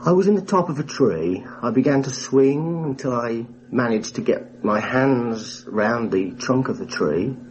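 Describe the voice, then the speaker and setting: A man, a former airman, recalling his wartime experience in a measured, matter-of-fact way for a radio documentary interview. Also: medium pitch, expressive, a little mellow. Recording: muffled 1960s radio recording, hissy.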